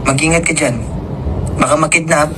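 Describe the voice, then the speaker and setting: A man's voice: on the high side, moderate pace. A young man on a phone call, easygoing and joking with a friend. His voice comes through a speaker on a noisy line, with traffic noise behind him. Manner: laid-back, joking, relaxed. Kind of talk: casual phone chat.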